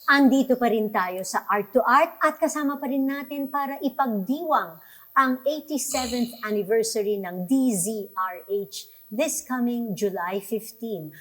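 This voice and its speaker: Higher-pitched woman radio host. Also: measured pace, a bit bright, rough recording. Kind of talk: conversational interviewing.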